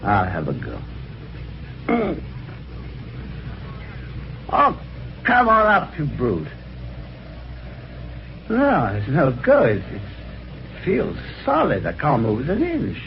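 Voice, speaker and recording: A jaunty british chap in an old radio mystery, super swoopy voice, wry, dull hissy recording. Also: male voice, on the high side, wildly swooping pitch, slow, gravelly, pauses often, heavily acted. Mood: exclaiming, effortful. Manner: jaunty, wry, old-fashioned gentlemanly phrasing. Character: genial, chatty, helpful husband telling his adventure. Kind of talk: 1950s radio drama acting and first-person narration.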